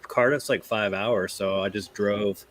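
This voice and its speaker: American male YouTuber, lower register, easy conversational panel talk. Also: fairly even intonation, measured pace, a bit bright. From United States. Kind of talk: casual chat.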